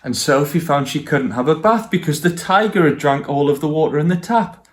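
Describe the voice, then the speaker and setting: A man's voice: fairly high pitch, animated, fairly quick. A man reading stories for young children and speaking to them directly as a guest storyteller.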